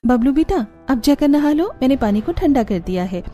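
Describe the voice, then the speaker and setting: A woman acts out an eager, resourceful young cartoon daughter-in-law. Her voice is high and soft-edged, earnest and animated, over music. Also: normal speed. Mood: confident. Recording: music behind the voice.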